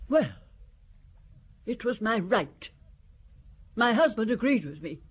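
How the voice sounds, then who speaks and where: A scheming wealthy old widow. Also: female voice, on the high side, big pitch swings, slow, breathy edge, heavily acted. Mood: defiant. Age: older. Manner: defiant and evasive. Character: cold, scheming wealthy older woman. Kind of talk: radio drama acting.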